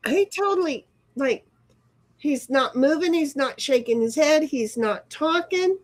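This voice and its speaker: A middle-aged woman hosting a relaxed livestream, talking in a loose, rambling way with offhand swearing. Her voice sits a little on the high side. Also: measured pace. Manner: rambling, chatty, swears casually. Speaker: livestream host. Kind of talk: casual livestream chat, reading chat aloud.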